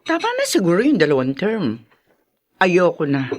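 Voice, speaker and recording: A woman's voice: on the low side, huge pitch swings, moderate pace. A woman playing a weary politician mother who scolds her grown sons, in Filipino radio drama style with a Filipino accent. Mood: weary, resolved.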